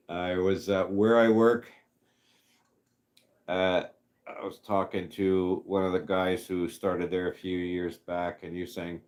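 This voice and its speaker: Slow, halting man in his fifties. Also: low-pitched, little pitch movement, darkish tone. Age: 50s. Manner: rambling, halting, many fillers. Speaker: livestream host reading chat. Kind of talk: casual livestream chat.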